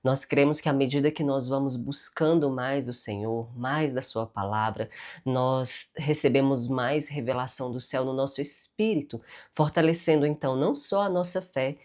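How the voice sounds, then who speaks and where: A woman's voice: extremely low pitch, a little mellow. A Brazilian woman speaking with a nasal, stuffy voice from a cold, giving a chatty devotional talk with spoken prayer and tag questions. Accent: Brazilian Portuguese.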